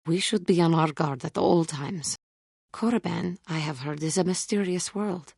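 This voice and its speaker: A woman voicing an earnest, troubled young female Jedi warrior in a video game, speaking formally and with measured care in a husky voice. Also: lowish voice, darkish tone, dry recording, studio quality. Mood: grave, measured. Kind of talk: scripted game character dialogue.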